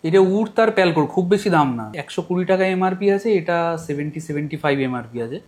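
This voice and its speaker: A male shop owner runs through product details at a very fast clip. His voice sits a bit high and his delivery is practical and matter-of-fact. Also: expressive, darkish tone. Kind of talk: explaining products.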